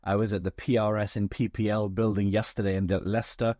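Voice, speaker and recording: A man hosting an interview, his voice hoarse and raspy, asking structured, articulate questions. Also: on the low side, little pitch movement, a little fast, mellow, no echo, clean studio sound. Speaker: podcast host. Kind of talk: interview, conversational.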